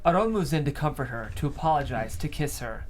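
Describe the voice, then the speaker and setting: A man's voice: on the high side, animated, fairly quick, slight background noise. A man reading scripted stage directions as the narrator of a table read.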